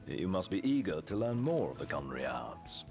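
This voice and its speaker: A man's voice: low voice, very animated, a little fast, vocal fry, a little mellow, faint music behind, exaggerated voice. A man voicing a refined, wry young royal retainer in a video game, articulate and courtly with a British accent. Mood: gently wry. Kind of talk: video game cutscene voice acting.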